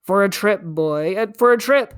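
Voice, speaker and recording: A woman's voice: mid-range voice, moderate pace, clear, resonant tone, close to an everyday voice. A woman voicing a scrawny, grinning male stranger in a storybook, teasing and coaxing in a theatrical way. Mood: coaxing, breezy. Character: scrawny, grinning, wheedling stranger man, fast-talking trickster.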